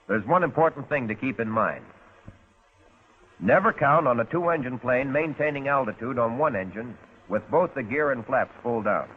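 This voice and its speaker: An American man narrating a 1940s instructional film in a measured, precise, instructive way, as a flight instructor would. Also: medium pitch, breathy edge. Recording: tinny narrow-band 1940s film soundtrack.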